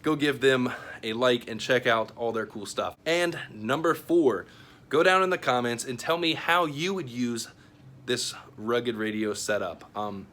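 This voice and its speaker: Man, American accent, mid-range pitch, informal vlog host, chatty product talk, noticeable ambient noise. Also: fairly bright tone. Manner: informal, conversational, chatty phrasing. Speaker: YouTube host. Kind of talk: casual product review and giveaway talk to camera. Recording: clearly noisy ambient background.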